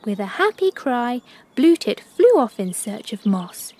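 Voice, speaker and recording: Woman, children's story narration, expressive, slow, breathy edge, careful storytelling. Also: a little high.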